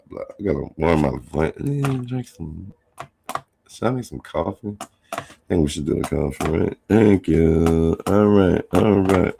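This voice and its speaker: Slow, gravelly low-voiced man. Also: a little mellow. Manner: rambling, many fillers and restarts. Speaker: sports podcast host. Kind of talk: casual solo sports commentary.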